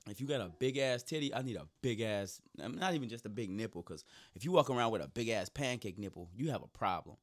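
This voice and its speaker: A man in casual comedic chat whose voice is going hoarse, riffing loosely and cracking profane jokes. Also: lowish voice, animated, quick pace, hardly any pauses. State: losing his voice.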